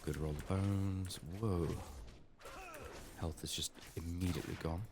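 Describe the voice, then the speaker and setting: A soft-spoken man murmuring low-key ASMR game commentary, thinking aloud in a slightly sick, strained voice. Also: steady tone, unhurried. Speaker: ASMR gaming YouTuber. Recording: faint background noise, clicking.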